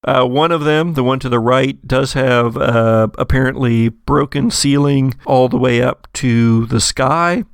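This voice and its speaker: A man's voice: medium pitch, a little slow, hardly any pauses, clear, resonant tone, no echo. A man narrating a tabletop horror game as game master, in a descriptive storytelling manner.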